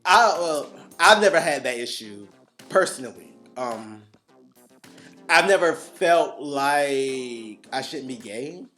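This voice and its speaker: Animated laughing male podcast co-host. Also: medium pitch, soft background music. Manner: animated, laughs often, drawn-out emphasis. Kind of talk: casual chat.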